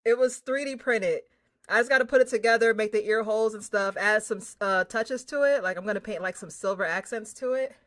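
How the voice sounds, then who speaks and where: A woman livestreamer chatting casually with her viewers in an excitable, slangy, informal way. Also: a little high, brisk. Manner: excitable, hyped, effusive; slangy, informal, drops swearing in. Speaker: livestreamer hosting a game stream.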